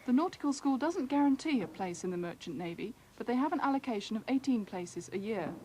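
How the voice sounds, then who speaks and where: A woman's voice: on the high side, animated, fast, mellow. A British woman reading scripted documentary narration as a news reporter. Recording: faintly noisy archive newsreel.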